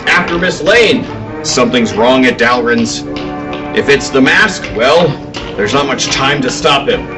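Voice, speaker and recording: A man playing an earnest reporter with a secret heroic side, speaking with deliberate urgency in old-time radio serial style. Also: mid-range voice, expressive, over music, lightly acted. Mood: urgent, deducing.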